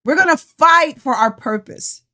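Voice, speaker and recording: A woman's voice: high-pitched, expressive, unhurried, bright, forceful tone. A sixty-year-old woman coach, giving a passionate, tired, preachy live talk straight to her viewers. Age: 60s. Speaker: life coach. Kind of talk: motivational live-stream talk.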